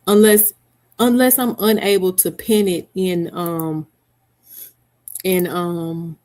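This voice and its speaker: A woman's voice: fairly low pitch, fairly even intonation, slow, darkish tone. A middle-aged woman hosting a casual live stream from home, chatting with viewers by name and laughing often. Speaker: livestream host. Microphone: headset mic.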